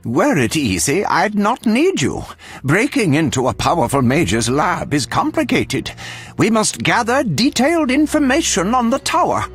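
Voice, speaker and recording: An actor playing an eccentric, self-important alchemist in a video game, obsessive and theatrical, with fussy delivery. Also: male voice, high-pitched, huge pitch swings, unhurried, a bit bright, breathy edge, faint music behind, full character voice. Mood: pompous, eager.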